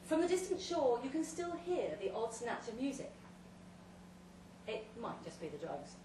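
Animated, high-voiced woman reading theatrically. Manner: theatrical, deliberate, with dramatic pauses. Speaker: story reader at a live literary event. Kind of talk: dramatic live reading of a comic second-person short story. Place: pub event room, before an audience. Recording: roomy, distant live recording, faint noise.

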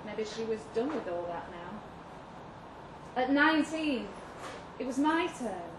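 A woman giving an expressive, measured first-person reading of a literary short story at a live event. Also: on the high side. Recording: roomy live venue, distant mic, noisy.

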